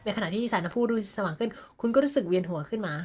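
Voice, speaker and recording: Thai woman game streamer, talks super fast, casual commentary, music in the background. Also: medium pitch, lively intonation, a little mellow, slightly rough. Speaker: livestreamer.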